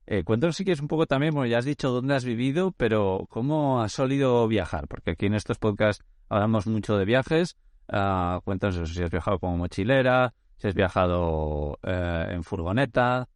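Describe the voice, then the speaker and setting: Low-ish guy hosting a chill podcast, loose talk, lots of restarts, dry close sound. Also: normal speed, no echo. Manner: relaxed, loose, often restarts phrases. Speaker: podcast host. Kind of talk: casual conversational interview.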